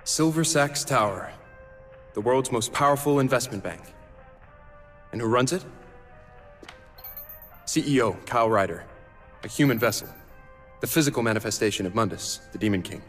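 A man playing a solemn young half-demon mastermind in a video game, speaking in a measured, articulate, grave way. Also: mid-range voice, animated, a bit bright, natural voice. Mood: measured explaining. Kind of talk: cinematic video game dialogue and exposition. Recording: long reverb, music underneath.

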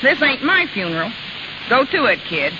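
A woman's voice: fairly high pitch, big pitch swings, moderate pace. Sardonic carnival woman, noisy old radio. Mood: scornful. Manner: sardonic, slangy. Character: hard-boiled, stingy, scornful carnival woman. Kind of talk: 1930s radio acting. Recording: dull, noisy 1930s radio broadcast.

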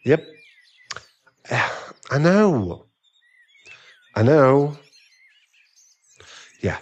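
A man plays an irritable sugar daddy boyfriend in an ASMR roleplay. He talks slowly and haltingly, sighing in exasperation, and his pitch swings widely as he pleads for space. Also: sing-song, breathy edge, exaggerated voice. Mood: hesitant, placating.

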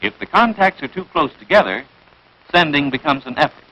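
An American man reading scripted narration for a 1940s military training film in a crisp, instructive manner, on a vintage film soundtrack. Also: a little high, sing-song, brisk, sharp tone, poor audio.

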